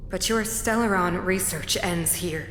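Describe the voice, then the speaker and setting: A woman's voice: fairly low pitch, measured pace, fairly bright tone, a little hiss or hum. An actress playing a stern, imperious middle-aged female ruler in a video game, formal and commanding. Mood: cold, stern. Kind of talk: video game story voice acting. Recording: echoey reverb with music behind.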